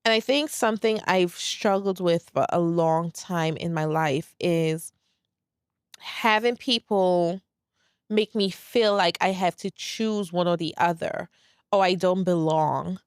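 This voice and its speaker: A woman's voice: on the low side, slow pace, clear, resonant tone, dry recording, studio quality. A woman in her thirties from Saint Lucia and Brooklyn, speaking with a Brooklyn accent with a light Saint Lucian trace, hosting a casual solo podcast in a candid, laid-back way with plenty of "like" and some swearing. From Saint Lucia, raised in Brooklyn, New York. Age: 30s. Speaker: podcast host.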